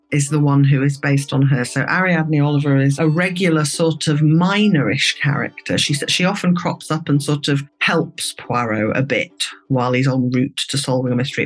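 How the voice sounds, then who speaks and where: A man's voice: on the high side, breathy edge. A man giving conversational expert commentary as an interviewee, explaining in a chatty, informal way.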